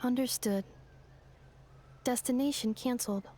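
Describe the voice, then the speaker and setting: A woman's voice: a little high, unhurried, dark tone. A woman voicing a polite, literal-minded robot helper in a video game, with clipped, formal, mechanical phrasing. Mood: obedient.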